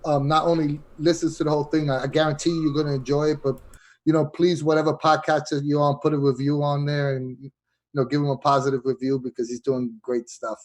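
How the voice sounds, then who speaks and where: A man's voice: a little high, little pitch movement, brisk. A man co-hosting a podcast with casual, joking, laid-back banter. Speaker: podcast co-host.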